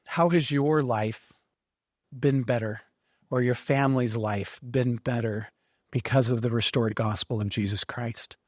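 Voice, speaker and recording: A man's voice: mid-range voice, steady tone, measured pace. A male podcast host asks questions and answers his guest with plain encouragement. His voice sits in a middle range, and the recording is dry, with no room echo. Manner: friendly, affirming. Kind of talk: interview.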